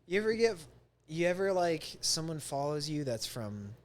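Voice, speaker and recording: A man hosting a podcast, rambling and halting, full of filler words and laughter. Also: on the high side, sing-song. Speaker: podcast host. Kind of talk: casual rambling podcast talk.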